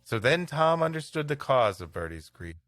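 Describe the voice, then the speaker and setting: A man reading a storybook tale aloud as a podcast host, in expressive narration. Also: medium pitch, normal speed, no echo, studio quality, faint music behind.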